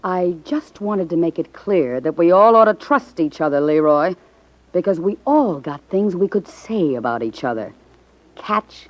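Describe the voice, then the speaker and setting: A woman's voice: lowish voice, expressive, measured pace. An American actress playing a shrewd, cocksure, wisecracking gangland woman in a 1950s radio crime drama. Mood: hard, persuasive. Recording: dull 1950s radio recording.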